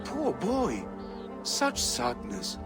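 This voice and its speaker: An excitable, scrappy little informant in a video game. His voice is extremely high and gravelly, swinging widely with theatrical, pleading melodrama. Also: extremely slow, music in the background, heavily acted. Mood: subdued, resolved. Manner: melodramatic, indignant.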